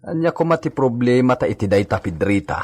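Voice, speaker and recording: A man's voice: mid-range voice, very animated, brisk, slightly rough. A man playing a resigned household servant in a radio drama.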